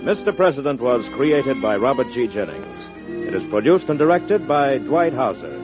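A man's voice: medium pitch, very animated, moderate pace. A man reading closing credits as a formal announcer in 1940s radio style. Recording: muffled old radio, music behind.

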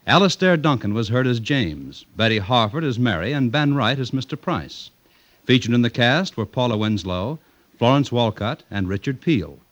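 A man's voice: mid-range voice, a bit bright, no room sound, dull audio. A man with an American accent announcing in the scripted style of 1950s network radio, polished and formal.

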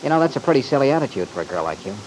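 Earnest young man played by an actor, very animated. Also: medium pitch, very fast, close to an everyday voice, rough recording. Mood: teasing, challenging. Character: easygoing, thoughtful young man, gently teasing. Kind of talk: old-time radio soap opera acting. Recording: hissy vintage radio recording.

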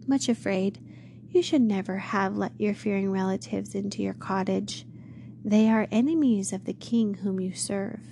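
A woman voicing a kind male shepherd who speaks gently and calmly in a storybook reading. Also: mid-range voice, creaky, slight background noise, lightly acted. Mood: gentle. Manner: slow, gentle reassurance.

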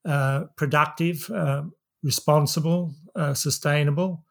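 An older man with an Australian accent, an academic guest giving reflective interview answers in measured, formal wording with frequent pauses. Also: fairly high pitch, fairly even intonation, creaky. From New South Wales, Australia. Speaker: academic guest, systems professor.

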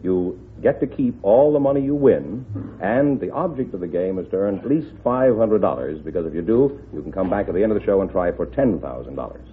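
A male quiz show sidekick with a lowish voice, announcing rules and scores. The sound is an old radio broadcast, narrow and tinny, with faint noise. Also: expressive, fairly quick.

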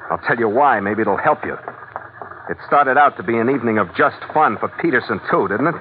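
A man's voice: medium pitch, lively intonation, brisk, a little hiss or hum, close to an everyday voice. A man playing a hardboiled, cynical American sea skipper in an old-time radio adventure, talking and narrating in wry, tough slang. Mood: cynical, blunt. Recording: tinny vintage radio recording with loud music.

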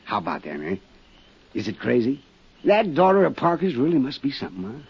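An actor in a 1950s radio drama playing an excitable, giggly man who talks eagerly and insistently. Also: mid-range voice, a little fast, husky, heavily acted. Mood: teasing, excited. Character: excitable giggling man showing off a novelty necktie. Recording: dull, noisy 1950s radio recording.

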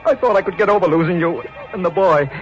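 An actor playing an obsessive, murderous jilted sculptor in an old-time radio horror show, menacing and theatrical, with halting, broken phrases. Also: male voice, high voice, lightly acted. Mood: anguished rage. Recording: muffled old radio, music behind.

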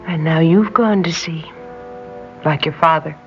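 An actress playing a gentle, dreamy woman in her twenties with an uncanny knowing air, in an old radio drama. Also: sits low, measured pace. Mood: tender, probing. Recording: dull old radio recording, music behind.

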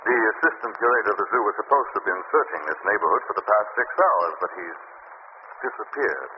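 An actor playing a calm, wry gentleman detective who speaks in a measured, dry way, in 1930s radio drama acting. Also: on the low side, natural voice. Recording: lo-fi noisy old radio recording.

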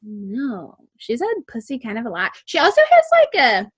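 A young woman with a sweet, squeaky voice, chatting casually about music and peppering her talk with "like". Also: wildly swooping pitch, moderate pace, slightly rough. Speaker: co-host reviewing music. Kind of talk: casual chat.

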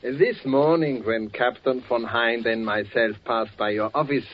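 A man's voice: medium pitch, measured pace, lightly acted. A man playing a fussy German boss. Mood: officious. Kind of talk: 1940s radio acting. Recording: faint hiss, muffled old radio broadcast.

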